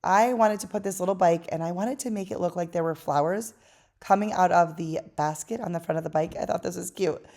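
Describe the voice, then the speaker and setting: Tired, hoarse woman narrating crafts. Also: fairly low pitch, a little fast. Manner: chatty and relaxed, with fillers. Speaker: craft channel host. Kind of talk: voice-over craft tutorial.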